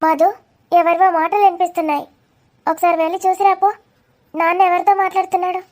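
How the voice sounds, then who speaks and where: A woman's voice: very high voice, level tone, fast, darkish tone. A woman voicing a stern, wary village mother in a cartoon drama. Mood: concerned.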